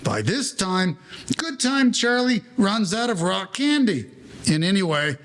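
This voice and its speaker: A man's voice: high voice, vocal fry, a bit bright, full character voice. A man playing the wry storyteller of a 1940s-style gangster audio play, narrating in mannered, formal slang. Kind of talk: scripted period story narration.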